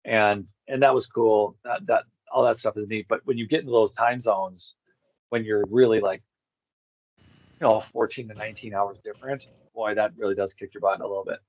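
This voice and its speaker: A man chatting casually as an interview guest, halting and informal, often repeating words for emphasis. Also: on the low side, steady tone, highs cut off. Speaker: interview guest, tour organizer. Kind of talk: casual rambling conversation.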